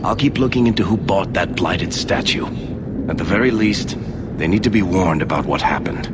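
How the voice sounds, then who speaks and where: An actor playing a weary, wry dwarf companion in a video game, speaking with understatement. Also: male voice, sits low, moderate pace, over loud music, lightly acted. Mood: regretful, wry. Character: weary, wry male dwarf companion, grieving his brother. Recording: loud background noise and game ambience.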